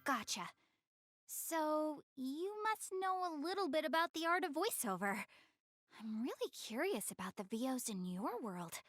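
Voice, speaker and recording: A woman's voice: high voice, measured pace, dry recording, studio quality, soft background music. A woman voicing a proud, easily flustered young goddess girl in an anime game dub, speaking expressively and directly to the player. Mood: casual, curious. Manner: expressive, hesitant when covering up embarrassment.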